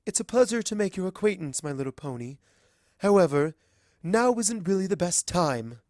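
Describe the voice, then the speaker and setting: A performer voicing a gentle but resolute pony princess in a measured, formal, regretful manner for a story reading. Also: medium pitch, very animated, no room sound, studio quality, pitched above the performer's own voice, natural voice. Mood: calm, patronizing. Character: regal, sorrowful adult mare princess, gentle but resolute.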